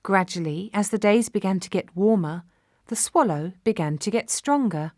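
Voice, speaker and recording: A woman's voice: mid-range voice, normal speed, darkish tone, no room sound. Woman narrating a fairy tale, very dry sound. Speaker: storyteller.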